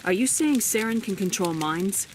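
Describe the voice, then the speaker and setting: An actress playing a decisive military commander in a video game, direct and no-nonsense. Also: female voice, mid-range voice, lively intonation, moderate pace, a little mellow, slight background noise, close to an everyday voice. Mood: firm, resolute. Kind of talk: video game cutscene acting.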